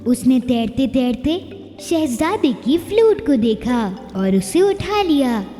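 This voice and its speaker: A woman's voice: high-pitched, very animated, slow, dark tone. A woman narrating a scripted fairy tale for children as a storyteller. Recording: long reverberant echo. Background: faint music.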